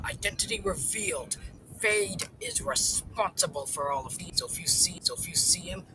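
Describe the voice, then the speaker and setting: A man voicing a goofy male TV news anchor who jokes, rambles and fills pauses with "uh". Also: a little high, background noise. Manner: jokey, self-mocking, rambling with fillers.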